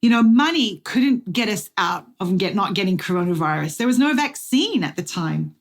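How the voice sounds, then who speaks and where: A middle-aged woman giving a testimony sermon as guest speaker, slow, deliberate and earnest, with dramatic pauses. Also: mid-range voice, animated, gravelly. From Australia.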